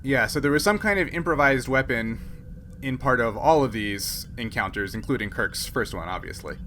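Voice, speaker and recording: A man working as game master, explaining and ruling on a tabletop game in a halting, think-aloud way. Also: mid-range voice, animated, bright, forceful tone, slight background noise.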